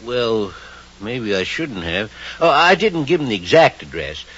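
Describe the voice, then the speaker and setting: Folksy druggist, animated pitch. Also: male voice, unhurried, breathy edge, heavily acted. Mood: sheepish. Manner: folksy, rambling, sheepish. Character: folksy, apologetic small-town male druggist. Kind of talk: 1940s-50s radio drama acting. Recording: muffled, hissy old radio recording.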